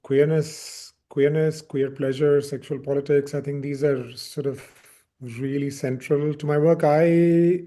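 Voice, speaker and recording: A man's voice: fairly high pitch, flat delivery, unhurried, warm tone. A man from India speaking as an interviewed film director, reflective, often repeating words as he searches for phrasing.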